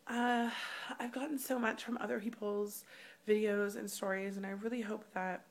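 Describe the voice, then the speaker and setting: A woman in her thirties speaking candidly in a casual personal vlog, her voice slightly rough, with many ums. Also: mid-range voice, fairly even intonation, normal speed. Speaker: vlogger. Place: hotel room.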